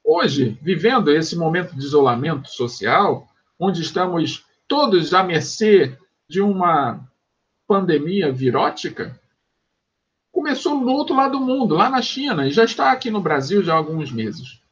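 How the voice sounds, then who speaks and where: A Brazilian man delivers a recorded sociology lesson from home, explaining ideas patiently and stopping to check that his students follow. His voice sits high and moves a lot in pitch, with a husky edge. The recording is dull and lo-fi. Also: sing-song. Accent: Brazilian Portuguese. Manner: explanatory, checks understanding with quick asides. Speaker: sociology teacher. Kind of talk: recorded online class lecture.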